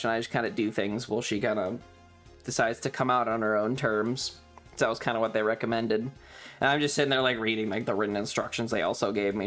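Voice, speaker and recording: A man streamer telling a casual, rambling story in a halting, wry way with some swearing. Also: medium pitch, fairly bright tone. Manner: frequent throat clearing.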